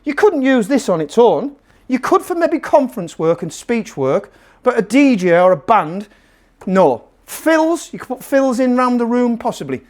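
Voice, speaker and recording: A man's voice: very high-pitched, big pitch swings, normal speed, darkish tone. A man presenting a casual product review to camera, emphatic and salesman-like, repeating phrases to drive his point home. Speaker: presenter and seller of PA gear.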